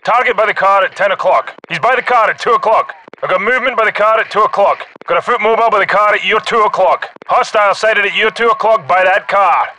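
Urgent special forces soldier, high forceful voice, clipped terse callouts, military shooter game, faintly noisy radio-style recording. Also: male voice, fast. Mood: urgent, alert. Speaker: video game voice actor.

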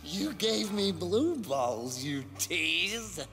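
Leering, slow-drawling male psychopath. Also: sits high, expressive, gravelly, music in the background, full character voice. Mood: pained, reproachful. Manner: lewd, taunting, drawn-out. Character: leering, sadistic, flamboyant male psychopath in fetish gear. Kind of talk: video game cutscene acting. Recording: long hall reverb.

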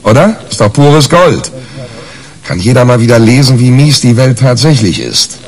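An actor playing a brash, hungover reporter in a 1980s radio crime drama, colloquial, blustery and hesitating. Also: male voice, medium pitch, very animated, normal speed, lightly acted. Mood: angry, taunting. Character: brash, hungover, cynical male reporter, scheming and combative. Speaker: lead actor and narrator in a crime radio play.

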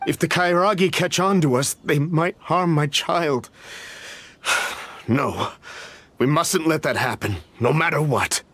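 A man's voice: a little high, slow pace, fairly bright tone, breathy edge, heavily acted. An actor playing an anxious, deferential middle-aged official in a video game, an earnest and easily flustered worried father. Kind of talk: video game character acting.

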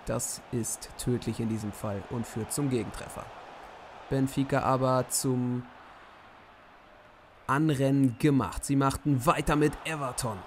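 A hoarse young man giving lively play-by-play summaries of simulated football matches. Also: mid-range voice, measured pace, a little hiss or hum. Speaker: host commentating simulated matches.

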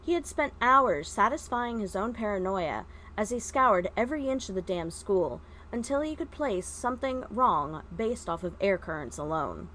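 A woman's voice: medium pitch, very animated, normal speed, few pauses, fairly bright tone, a little hiss or hum. A woman reading a story aloud as scripted narration. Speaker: fan fiction podfic reader.

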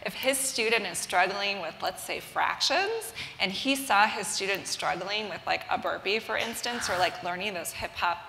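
A woman's voice: medium pitch, normal speed, vocal fry, few pauses, slight background noise. A middle-aged woman speaking casually as a panelist on stage, full of "like"s. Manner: casual, animated, many likes. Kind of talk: conference panel talk. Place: large conference hall stage. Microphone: lapel mic. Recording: echoey conference hall reverb.

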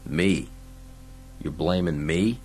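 A man voicing a stern, weary lawman in an audiobook, curt, gruff and deadpan, hard-boiled and unbending. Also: low voice, slow pace, heavily acted. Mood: weary, dry. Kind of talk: dramatised audiobook science-fiction dialogue.

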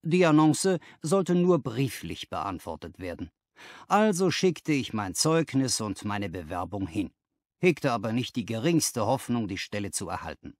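Audiobook casting: plain-spoken young male clerk, read by a man, rueful and frank, animated intonation, close dry sound. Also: fairly low pitch, unhurried, no echo. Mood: matter-of-fact.

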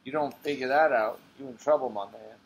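A man's voice: mid-range voice, a little fast, lightly acted, low-quality recording. A man reading a stage monologue as a streetwise, slangy man who gives tough life advice with insistent emphasis. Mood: insistent, preaching. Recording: clear background noise.

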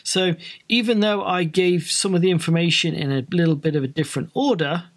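A man's voice: high voice, a little fast. A British man giving an informal, explanatory walkthrough as a flight training presenter in a casual tutorial style. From Britain.

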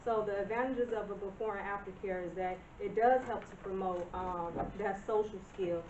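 A woman in her thirties presenting a business proposal at a village board meeting, nervous and halting, with self-conscious laughs. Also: mid-range voice, fairly even intonation, a little mellow, a little hiss or hum. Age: 30s. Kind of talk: public comment at a meeting. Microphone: far camera. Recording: distant roomy lo-fi meeting video.

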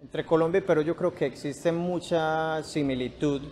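A man's voice: fairly high pitch, steady tone, normal speed, loud music behind the voice. A young man speaking thoughtfully in an outdoor interview, sometimes searching for words. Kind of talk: interview testimony. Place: outdoor terrace by a park.

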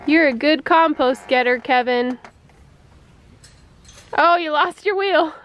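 Slow-talking woman, bit high voice, vlogging outside w/ handheld cam, laughs at herself a lot. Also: fairly bright tone. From United States. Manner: chatty, self-deprecating, laughs often. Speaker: homestead vlogger. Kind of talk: casual vlog narration. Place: outdoors in a sunny backyard farm. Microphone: handheld camera at arm's length.

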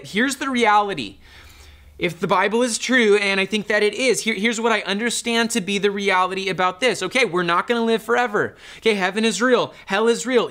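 A man's voice: sits high, bright, forceful tone. A man in his thirties, a pastor, preaching a sermon in an earnest, conversational storytelling manner. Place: dark studio, indoors.

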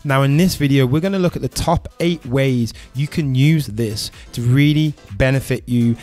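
A man's voice: mid-range voice, normal speed, a little mellow, faint music behind. Enthusiastic man vlogging at a desk mic. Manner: enthusiastic, chatty. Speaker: tech YouTuber host. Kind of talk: casual tech demo vlog. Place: home studio room with colored lights. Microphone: desk microphone close up.

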